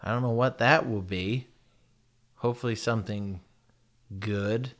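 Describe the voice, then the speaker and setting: A man's voice: on the low side, steady tone, unhurried. An American man with a noticeable lisp, talking casually to his viewers in a rambling, profane, self-mocking way. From United States. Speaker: vlogger. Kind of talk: casual chat.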